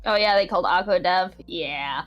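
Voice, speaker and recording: A woman's voice: on the low side, normal speed, highs cut off. A woman chatting casually on a podcast as a co-host, with a giggly way of talking. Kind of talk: casual chat. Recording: background music under voices. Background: background music.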